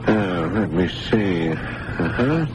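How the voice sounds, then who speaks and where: An actor playing a young hospital doctor in a radio drama, brisk, reassuring and probing, with patient bedside patter. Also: male voice, deep voice, lively intonation. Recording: noisy old radio broadcast recording.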